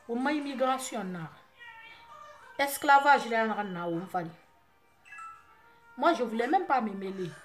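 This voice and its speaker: A woman from Guinea with a Guinean French accent, Susu her mother tongue, vlogging to her fans. Her voice sits high and keeps surging into loud, emphatic bursts. Faint music plays quietly behind her. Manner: emphatic, rising often to loud forceful outbursts. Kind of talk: impassioned monologue to camera.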